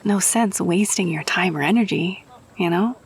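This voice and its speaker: A woman's voice: on the low side, normal speed, natural voice. A woman playing a friendly, grateful rogue-for-hire in a fantasy audio roleplay, talking casually and chummily to the listener. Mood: friendly, persuasive.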